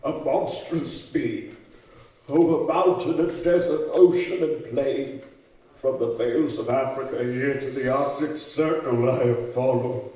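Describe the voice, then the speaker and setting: Guy doing a weary old scientist out for revenge, radio play style, slow n solemn, super echoey hall. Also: medium pitch, mellow, natural voice. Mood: bitter, weary. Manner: solemn, theatrical, halting delivery. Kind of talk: old-time radio drama acting. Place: live on stage in a community hall. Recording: echoey hall, distant mic.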